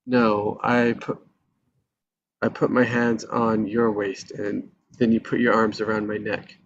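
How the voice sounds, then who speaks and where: A man playing a discontented, self-pitying married man in his thirties in a live screenplay read, speaking casually and haltingly. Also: fairly low pitch, level tone, dull audio, slight background noise. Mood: wistful, teasing.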